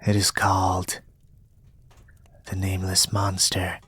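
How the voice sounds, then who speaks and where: A young man reading a storybook in a soft-spoken, partly whispered ASMR style, with a gentle, measured delivery. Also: low voice, monotone, breathy edge. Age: young adult. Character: polite soft-spoken young male reader, quietly eerie. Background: soft music.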